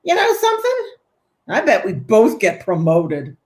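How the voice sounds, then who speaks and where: A woman playing a brash, excitable, street-smart New York postal worker in a 1940s-style radio play. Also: mid-range voice, unhurried, noisy background. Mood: excited, scheming.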